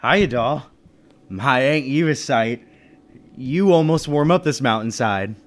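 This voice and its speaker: Man acting a breezy 1930s businessman, lively delivery. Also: medium pitch, slow. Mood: flirtatious. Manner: breezy old-time slang. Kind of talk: 1930s radio drama acting and narration.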